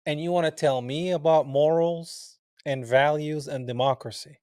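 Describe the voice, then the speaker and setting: A man's voice: a little high, moderate pace, clean tone, no room sound, studio quality. A man in his forties from Palestine, telling stories as an interview guest and acting out the dialogue with frequent laughs. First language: Arabic. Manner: animated, acts out quoted dialogue, laughs often. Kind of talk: casual interview storytelling.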